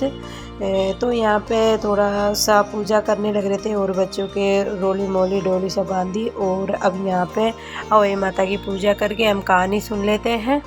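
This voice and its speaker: A hoarse woman in her thirties from Rajasthan narrates her home vlog in a loose, chatty way, stopping often on filler sounds. Her pitch sits in the middle, and soft music runs quietly under her voice. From Rajasthan, India. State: hoarse from a cold. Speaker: family vlogger.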